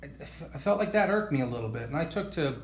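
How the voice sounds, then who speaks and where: Male boxing interviewer, creaky mid-range voice, wordy and halting, recorded in an echoey room. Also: fairly high pitch, animated. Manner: wordy, halting, rhetorical questions. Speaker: boxing talk show host. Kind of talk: conversational sports interview. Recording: roomy echo, faint background noise.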